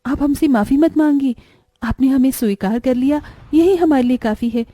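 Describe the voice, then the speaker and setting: A woman's voice: high-pitched, normal speed, dark tone, no echo, studio quality. A woman voicing a meek, loyal young stepsister in a cartoon moral story, speaking earnestly and respectfully. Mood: gentle, earnest.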